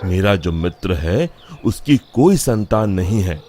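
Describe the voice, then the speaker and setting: Man acting a slow, insistent village father. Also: sits low, animated, warm tone, pitched below the performer's own voice. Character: village father, stubborn, doting on his son.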